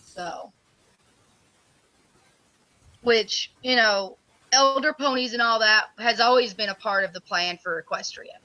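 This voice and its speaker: A woman with a loud, bright voice talks casually over a computer microphone. The sound is dry and close, with no room in it, and she keeps the chat loose and friendly as she streams her work. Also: a little high, moderate pace, no room sound, highs cut off. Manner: loud voice, says so herself. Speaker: community project lead.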